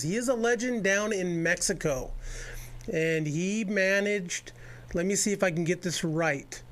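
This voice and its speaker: A man's voice: sits high, fairly bright tone. A man hosting a radio baseball show, interviewing a guest in a chatty, rambling way with lots of fillers.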